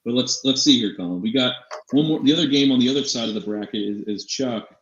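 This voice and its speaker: A man's voice: medium pitch, fast talker, fairly bright tone. A man co-hosting a fantasy sports show in casual, informal chat, often repeating phrases for emphasis. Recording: slightly dull, echoey room sound.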